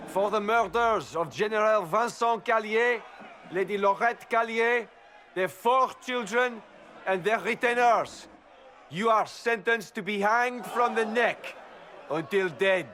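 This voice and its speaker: A man's voice: high-pitched, measured pace, a bit bright, some chatter behind, slight background noise. A man playing a stern official in a video game, projecting a formal proclamation. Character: stern male official reading out a death sentence.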